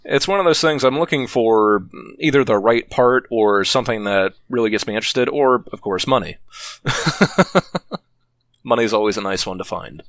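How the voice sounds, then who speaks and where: A man's voice: medium pitch, moderate pace, fairly bright tone, dry recording, clean studio sound. A man rambling through anecdotes as a podcast guest, chatty and laughing often. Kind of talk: casual podcast chat.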